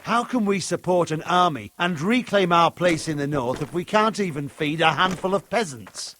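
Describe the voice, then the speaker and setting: A loyal warrior counselling his lord in a fantasy game. The voice is tense and sharp, pitched a little high. He speaks bluntly, with grave force. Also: lively intonation, normal speed, slight background noise. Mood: grave, urgent. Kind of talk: dramatic video game acting.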